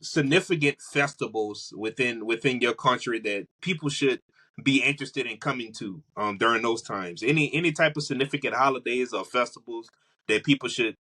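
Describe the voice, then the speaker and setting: A man's voice: medium pitch, normal speed, bright, forceful tone. A man from Louisiana with an American accent, hosting a casual interview and often restating his phrases with enthusiasm. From Louisiana, United States. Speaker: podcast host, interviewer.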